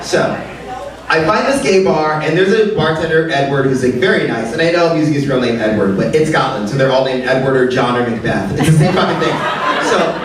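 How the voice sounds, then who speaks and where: A man in his late thirties doing a live stand-up set, animated and exaggerated, swooping into high squeals. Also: brisk. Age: late 30s. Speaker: stand-up comedian. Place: comedy club stage, live audience. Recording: roomy club sound from a distance, crowd laughter.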